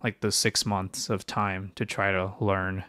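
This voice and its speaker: Young guy on a podcast, lowish voice, lots of um and like, keeps restarting, dry close mic. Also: little pitch movement, unhurried, sharp tone, smooth, dry recording. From China. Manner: hesitant, restarts sentences, many fillers. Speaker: podcast co-host, physician. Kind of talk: casual podcast chat.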